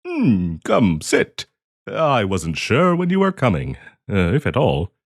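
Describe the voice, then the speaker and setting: A man's voice: on the low side, wildly swooping pitch, slow, heavily acted. A man playing a polite, hesitant half-dragon diplomat in a fantasy roleplay audio, genteel and eager to please as he hosts the listener. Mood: welcoming, flustered. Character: polite, flustered half-dragon diplomat hosting tea in his cave.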